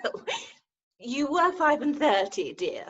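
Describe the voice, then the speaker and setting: A woman playing a breezy, teasing young Victorian lady in a stage farce, arch and expressive, recorded over a video call. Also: high voice, slow pace, lo-fi sound, heavily acted. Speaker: actor in an amateur staged reading.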